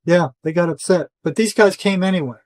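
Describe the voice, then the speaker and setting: A man's voice: high voice, fairly quick, darkish tone, dry recording. An older man talking casually as an interview guest, telling rambling stories in colloquial words and voicing quoted dialogue. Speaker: interview guest, retired psychotherapist. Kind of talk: conversational storytelling, casual interview.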